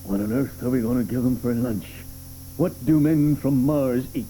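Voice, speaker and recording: A man's voice: mid-range voice, moderate pace, mellow, slight background noise, close to an everyday voice, rough recording. An actor playing a pompous, short-tempered male president who barks orders, in a 1980s studio radio play. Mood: smug. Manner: blustering, barking orders.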